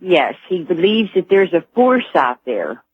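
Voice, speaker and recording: A man's voice: high voice, very animated, unhurried, slightly rough, no echo. A middle-aged or older man talking casually as a caller to a radio show over a tinny phone line.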